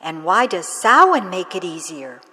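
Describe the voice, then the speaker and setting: A woman's voice: fairly low pitch, sing-song, unhurried, bright, forceful tone, long reverb tail, exaggerated voice. A woman voicing a young girl who is tearful and grieving her pet cat. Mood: bewildered.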